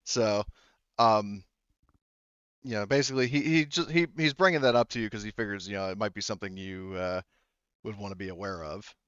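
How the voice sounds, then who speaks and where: Man, game master, smooth low voice, halting casual narration, rephrases often, slightly dull dry recording. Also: fairly bright tone, no room sound. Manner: halting, circles back and rephrases.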